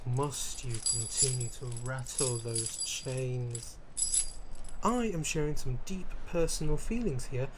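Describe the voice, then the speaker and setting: A man's voice: mid-range voice, darkish tone, slight background noise, natural voice. A man playing a theatrical, fussy vampire aristocrat in an ASMR roleplay, with pompous old-fashioned wording, flustered stammers and dramatic emphasis. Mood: wounded, dramatic. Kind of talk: ASMR roleplay character acting, addressing the listener.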